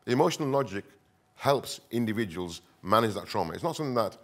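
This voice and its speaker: A man's voice: lowish voice, expressive. Earnest man giving a talk. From northern England. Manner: earnest, emphatic repetition, deliberate short phrases. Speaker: conference speaker, counter-extremism expert. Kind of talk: conference presentation, semi-improvised public speaking.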